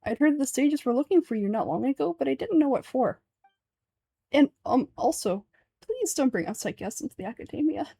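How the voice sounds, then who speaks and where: A woman's voice: high voice, animated, fairly quick, vocal fry, mellow, husky, dry recording, exaggerated voice. A woman voicing a proud, easily offended young student in a fantasy game, stammering and earnest. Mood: flustered.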